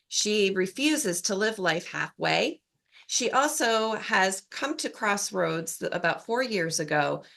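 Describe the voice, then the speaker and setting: Upbeat, slightly hoarse woman podcast host. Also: lowish voice, a bit bright. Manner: upbeat, encouraging, emphatic. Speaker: podcast host and empowerment coach. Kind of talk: scripted intro then interview chat.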